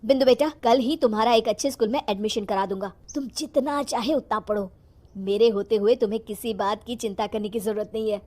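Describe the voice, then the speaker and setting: A protective, principled dad from a storybook tale , played by a woman. The voice is high and emphatic, speaking up earnestly for a child. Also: fairly even intonation, a little fast, a little mellow, natural voice. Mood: reassuring. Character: firm, protective father standing up for his daughter.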